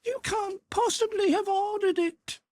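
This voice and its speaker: A shrill, pleading young noblewoman. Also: a little slow, darkish tone, no echo, studio quality. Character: passionate young noblewoman, fiercely indignant. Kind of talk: audiobook character voice.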